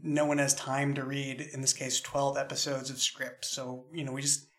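A man's voice: medium pitch, little pitch movement, normal speed, fairly bright tone. A man hosting a conversational podcast roundtable as the show's creator. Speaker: host, show creator.

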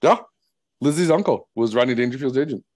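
Man, thirties, podcast host, lively up-and-down voice, dry close sound. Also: medium pitch, a little fast, breathy edge, no echo. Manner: playful, laughs often, teasing. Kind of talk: casual chat.

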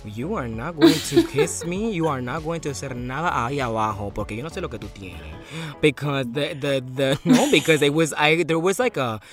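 Lively man co-hosting a radio chat. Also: on the high side, fairly quick, soft background music. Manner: bilingual banter, switching to Spanish. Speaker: co-host.

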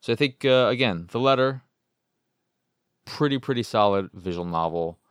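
A man's voice: medium pitch, lively intonation, moderate pace, smooth, dry recording, clean studio sound. An American man from Chicago hosting a game podcast, talking casually in a rambling, sweary, self-amused way. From Chicago, United States. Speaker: video game podcast host. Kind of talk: casual solo game review talk.

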